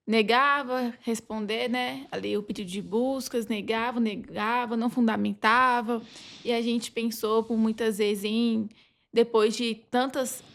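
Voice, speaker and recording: A woman with a Brazilian Portuguese accent speaking as a podcast guest, explaining things from experience in an informal, conversational way. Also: a little high. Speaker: podcast guest, legal advisor.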